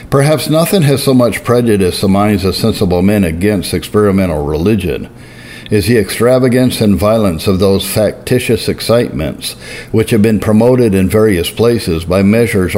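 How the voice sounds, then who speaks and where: Solemn man reading aloud, low-voiced. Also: darkish tone, slight background noise. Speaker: narrator reading historical religious writing. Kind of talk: measured scripted reading.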